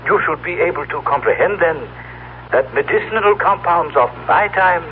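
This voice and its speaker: An actor playing a solemn, proud telepathic being from the future, speaking in formal, precise, stilted phrases as in old radio drama. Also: male voice, fairly high pitch. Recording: tinny, noisy old radio broadcast recording.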